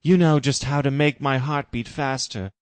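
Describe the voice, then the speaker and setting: A man's voice: medium pitch, steady tone, moderate pace, clear, resonant tone, no room sound, pitched above the performer's own voice, natural voice. A man voicing a devoted, lovesick man who speaks earnestly. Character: lovesick devoted man, later beaten and weak.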